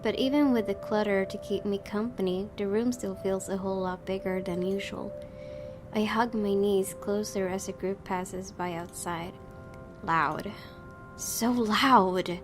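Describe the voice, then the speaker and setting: Female gaming YouTuber, casual and expressive read-aloud, clear game music underneath. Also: medium pitch, a little slow. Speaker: gaming YouTuber reading a visual novel aloud. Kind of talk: casual let's-play commentary and read-aloud narration. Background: game music with guitar.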